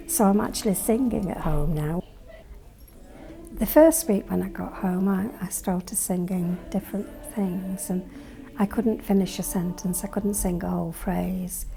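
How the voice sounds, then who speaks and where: A woman's voice: mid-range voice, vocal fry, warm tone. An older woman sharing heartfelt, thoughtful testimony about joining a singing group. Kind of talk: reflective interview testimony.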